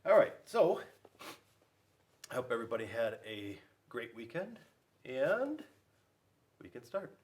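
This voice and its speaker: Male children's storyteller, mid-pitched with big swings, playful, speaks straight to young listeners. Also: sing-song, unhurried, long pauses, a little mellow. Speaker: school resource officer reading to children. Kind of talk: animated children's read-aloud.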